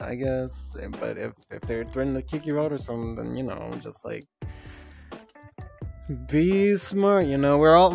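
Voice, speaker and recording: A woman's voice: deep voice, creaky. Giggly rambling young woman streamer. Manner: rambling, giggly, swears freely. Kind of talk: casual live-stream chat. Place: streaming at home, VR avatar on screen. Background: soft background music.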